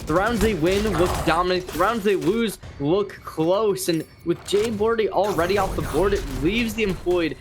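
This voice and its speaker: A man giving measured, explanatory live analysis of a video game match as an esports commentator. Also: high voice.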